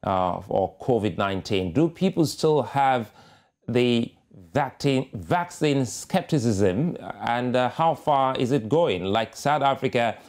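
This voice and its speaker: A man hosting a news panel, wrapping up in a hurried, stumbling way. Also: medium pitch, animated, darkish tone. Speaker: news show host. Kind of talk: moderating a panel.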